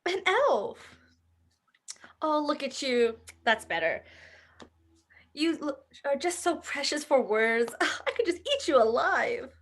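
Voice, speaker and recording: A woman's voice: high-pitched, sing-song, slow, long pauses, fairly bright tone, full character voice. A woman playing a gushing, cooing suburban mother in a table read of a comedy script. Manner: effusive, cooing.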